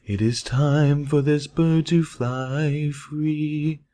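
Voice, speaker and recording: A man sings as a female pony in a fantasy storybook. The voice is raised high, with long, slow, theatrical phrases full of yearning. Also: steady tone, warm tone. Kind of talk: slow unaccompanied singing.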